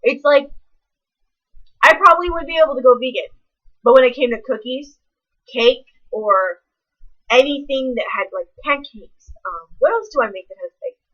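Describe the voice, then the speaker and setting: A woman's voice: sits high, moderate pace, long pauses, fairly bright tone, highs cut off. A young woman, ranting casually to camera in a blunt, rambling way full of swearing. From American South. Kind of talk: casual vlog rant.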